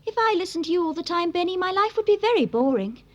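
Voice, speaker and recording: A woman voicing a curious, polite little girl in a cartoon, with a proper, expressive and dramatic manner. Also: very high voice, fast, dull audio. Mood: curious, cheerful. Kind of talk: animated cartoon dub acting.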